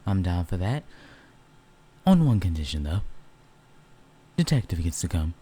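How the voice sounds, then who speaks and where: A man acts out a male werewolf in an audio roleplay, casual and blunt. His voice is deep, husky and very mellow. He teases and competes, yet stays a loyal partner. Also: big pitch swings, unhurried, heavily acted. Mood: upbeat.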